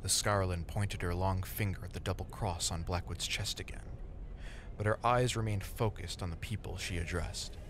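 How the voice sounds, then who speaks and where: A man reading scripted, dramatic dark fantasy narration as the storyteller. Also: sits low, steady tone, normal speed. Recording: ambient noise behind the voice.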